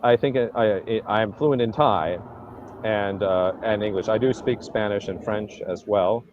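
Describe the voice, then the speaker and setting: A man, an event organizer, talking in a casual interview, halting and restarting often with many fillers. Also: lowish voice, dull audio, background noise. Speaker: convergence organizer. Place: Thailand.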